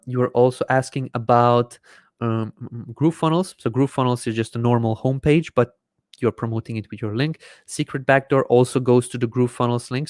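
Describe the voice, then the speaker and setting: Smooth-voiced man hosting a live Q&A. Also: medium pitch, no room sound, clean studio sound. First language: Hungarian. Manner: explains step by step while clicking through software. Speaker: host answering viewer questions. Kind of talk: live Q&A with screen-share demonstration. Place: small indoor room at a desk. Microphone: desk microphone in front of face.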